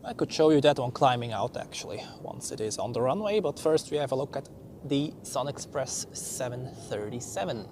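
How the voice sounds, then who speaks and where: A man with a Swiss accent giving relaxed, chatty live commentary on arriving and departing planes as a plane-spotting host. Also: mid-range voice, moderate pace. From Switzerland. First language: Swiss German. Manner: chatty, relaxed, thinking aloud. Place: outdoors beside an airport runway. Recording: outdoor aircraft noise. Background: aircraft and vehicle noise.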